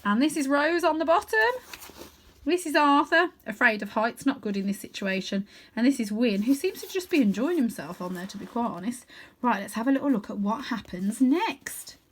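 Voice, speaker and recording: A woman reading a children's book aloud as an expressive narrator. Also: a little high, moderate pace. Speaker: storybook reader.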